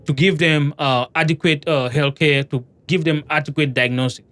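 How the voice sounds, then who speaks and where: A man's voice: on the high side, steady tone, moderate pace, a bit bright. A man in a casual discussion, talking with hesitation and lots of restarts. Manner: hesitant, many fillers and restarts.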